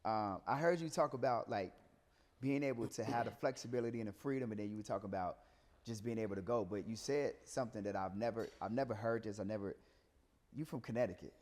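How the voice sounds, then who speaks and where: A man's voice: fairly low pitch, fast talker, a little mellow. A man hosting a casual interview, chiming in with affirmations and repeating himself for emphasis. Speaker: podcast host. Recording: reverberant hall-like room echo.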